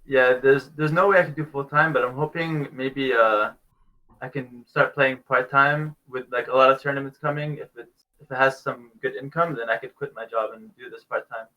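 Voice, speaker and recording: A man's voice: medium pitch, steady tone, moderate pace, sharp tone, rough recording. Laid-back young Canadian man. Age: young adult. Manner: laid-back, chuckles, fillers like "like" and "basically". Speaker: guest. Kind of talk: casual interview answers. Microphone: video call.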